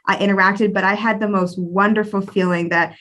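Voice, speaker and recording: A woman's voice: mid-range voice, fairly even intonation, a little fast, fairly bright tone. Woman host chatting casually at a museum program, normal mid-range voice. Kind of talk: casual conversational hosting and storytelling.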